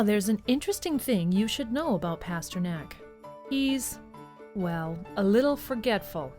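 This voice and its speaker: A woman's voice: medium pitch, darkish tone. A woman narrating a storybook tale for an audio drama, conversationally addressing the listener.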